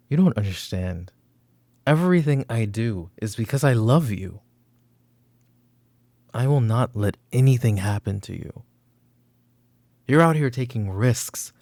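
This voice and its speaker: A man playing a stern, controlling middle-aged mafia boss and protective father in an ASMR roleplay, with commanding, deliberate, menacing delivery. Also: lowish voice, darkish tone, no room sound. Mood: quietly intense.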